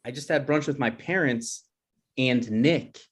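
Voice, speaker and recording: A man's voice: medium pitch, a little slow, husky. A man playing an awkward, defensive young grad student with hesitant, rambling delivery in a comedy table read. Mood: earnest.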